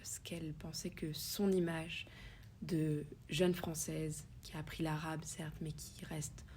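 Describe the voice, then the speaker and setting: A woman's voice: low voice, level tone, takes it slowly, darkish tone, a little hiss or hum. A woman podcast host introducing recorded clips, hesitant and full of fillers.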